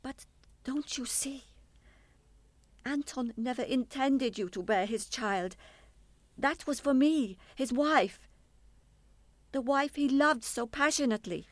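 A woman acting in a radio play as a bereaved wife, measured and insistent, controlled but obsessive about claiming a son. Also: high voice, dry recording. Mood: possessive, insistent.

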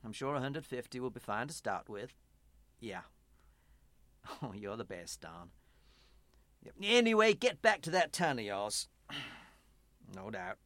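An actor in a radio play playing a smooth-talking evangelical pastor with a persuasive, salesman-like manner and an uneasy conscience. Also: mid-range voice, very animated, unhurried, pauses often, fairly bright tone, no echo. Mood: excited, persuasive. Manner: stammering, salesman-like persuasion, swelling into preaching. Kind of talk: radio drama acting.

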